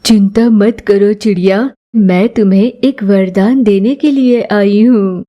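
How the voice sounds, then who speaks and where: A woman's voice: mid-range voice, slow pace, highs cut off, pitched below the performer's own voice, natural voice. A woman voicing a kind, magical talking tree, soothing and benevolent like a goddess, in a cartoon story. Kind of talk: Hindi moral cartoon story acting.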